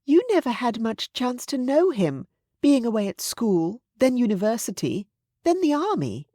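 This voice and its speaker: A woman narrator playing an older aristocratic mother in a romance audiobook. The voice is pitched up high, chatty and indulgent, with an imperious assurance. The recording is dry and close. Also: big pitch swings, a little slow, dark tone, no echo. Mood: fond, assured.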